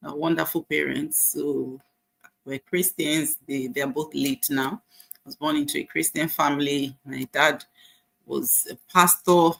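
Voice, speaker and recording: Nigerian lady in her 40s, bit of fry in her voice, chatty and encouraging, lots of "you know". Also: deep voice, steady tone, unhurried, low-quality recording. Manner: warm, faith-filled, encouraging, with many fillers. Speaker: nurse sharing her story. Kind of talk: casual personal testimony.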